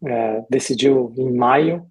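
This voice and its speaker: A middle-aged man with a Brazilian Portuguese accent, speaking as an interview guest in a casual, reflective way, pausing and repeating himself as he recounts his experience. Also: medium pitch, a little mellow, breathy edge, low-quality recording. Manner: reflective, hesitant with pauses and repetitions. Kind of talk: casual conversational storytelling.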